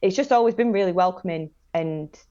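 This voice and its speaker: A young woman in her late twenties speaks with a Northern English accent and a fairly low voice. She tells personal stories in a casual, enthusiastic, slangy way as a podcast guest. The recording is somewhat dull and lo-fi. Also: fairly quick, mellow. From northern England, Manchester and Blackpool area. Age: late 20s. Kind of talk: casual chat, personal anecdotes.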